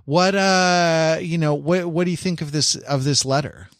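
A man with a slightly high voice chats casually as a podcast co-host. He muses in halting starts and circles back over phrases, recorded very dry with no room sound. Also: no echo. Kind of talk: casual chat.

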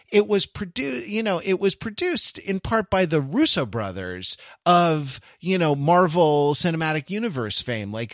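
A man's voice: fairly high pitch, a bit bright, no room sound, studio quality. A man from Los Angeles co-hosting a podcast, talking in a rambling, digressive way and correcting himself as he goes. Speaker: podcast co-host. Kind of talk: casual chat.

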